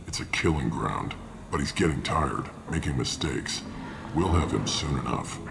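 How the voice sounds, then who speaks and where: Very deep, gruff mercenary officer, noisy backdrop. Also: male voice, expressive, measured pace, heavily acted. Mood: brisk, businesslike. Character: gruff male mercenary logistics officer briefing freelancers. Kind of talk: video game voice acting.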